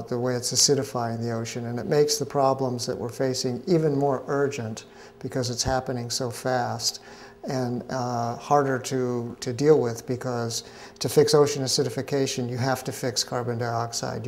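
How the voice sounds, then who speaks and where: A man's voice: mid-range voice, flat delivery. Middle-aged man explaining with many fillers. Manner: measured, explanatory, frequent "uh" fillers. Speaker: interviewee, ocean scientist. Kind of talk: interview answers. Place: event pavilion at a conference.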